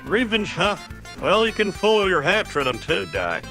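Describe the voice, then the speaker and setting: A man's voice: high-pitched, big pitch swings, moderate pace, vocal fry, sharp tone, soft background music, full character voice. A man voicing a patient, preachy frog mentor who lectures and explains in an amateur cartoon comic dub. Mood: stern, lecturing.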